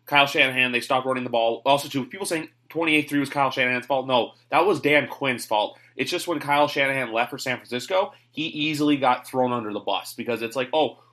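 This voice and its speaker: A man's voice: mid-range voice, fast talker, few pauses, pushed and bright. Chatty man hosting a sports podcast. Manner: chatty, rambling, opinionated. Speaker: podcast host. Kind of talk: casual sports chat.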